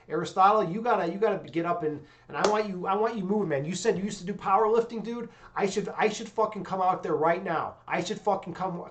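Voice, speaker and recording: A young man casually chatting with viewers on his livestream in a loose, excitable way, swearing freely and peppering his talk with "dude" and "man.". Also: sits high. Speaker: livestream host.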